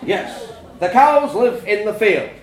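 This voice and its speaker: A man voicing a gruff, blunt farmer father in a told story, booming and emphatic. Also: lively intonation, takes it slowly, reverberant. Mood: incredulous, emphatic.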